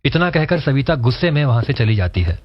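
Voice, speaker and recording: A man narrates a cartoon story in a steady, scripted manner at a mid pitch. The voice-over sounds dull and lo-fi. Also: a little fast, no echo.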